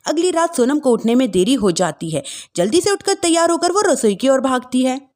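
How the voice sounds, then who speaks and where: A woman narrating a scripted domestic story in an expressive storytelling style. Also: high-pitched, brisk.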